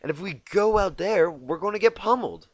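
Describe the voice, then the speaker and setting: A man's voice: high-pitched, animated, a little fast, no echo, voice pushed up. A man voicing an anxious, bookish young female unicorn pony with a worried, questioning manner in a story reading.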